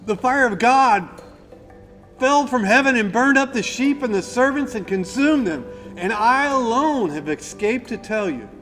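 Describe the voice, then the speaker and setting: A man playing an alarmed messenger in a church Bible drama, loudly declaiming news of disaster. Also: very high-pitched, animated, measured pace, heavily acted. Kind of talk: church class Bible drama, recited scripture. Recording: reverberant hall, faint music behind.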